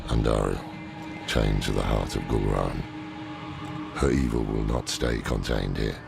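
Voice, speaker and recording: A weary, cautious mentor from a video game. His voice is extremely low and heavily gravelled, delivering lore gravely and dryly. Loud music runs behind him. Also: fairly even intonation, unhurried, exaggerated voice. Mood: somber. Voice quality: very deep, gravelly. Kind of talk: video game cutscene acting.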